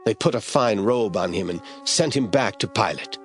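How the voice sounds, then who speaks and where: Man narrating a bible audio drama, lowish voice, steady read, music under. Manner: steady, measured reading. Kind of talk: scripted scripture narration.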